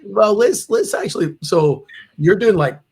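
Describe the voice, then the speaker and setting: A man hosting a podcast, asking questions in a relaxed way with frequent restarts and "uh.". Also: high voice, unhurried, creaky, slightly rough. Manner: conversational, with repeated restarts and "uh" fillers. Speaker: podcast host. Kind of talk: casual interview.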